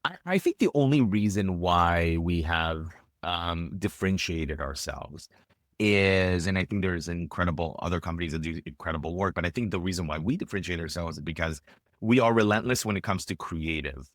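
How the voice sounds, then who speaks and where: A man's voice: low-pitched, normal speed, dry recording, clean studio sound. A man, chatting as a podcast guest and rambling with many fillers and restarts. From Israel. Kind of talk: casual interview chat.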